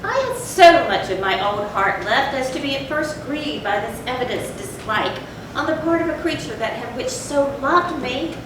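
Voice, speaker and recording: A woman playing a tormented, confessing animal lover turned cruel drunkard, in a deliberate, formal, theatrical reading of a gothic horror story. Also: high-pitched, animated. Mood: remorseful, brooding. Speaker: readers theatre performer. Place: large echoey room, far from the mic. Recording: very echoey, distant, noisy live hall recording.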